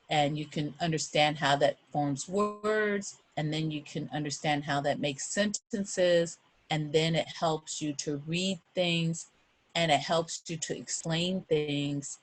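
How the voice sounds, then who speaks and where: A middle-aged woman talking as a guest reader to children and families, explaining things in an earnest, encouraging and emphatic way. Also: deep voice.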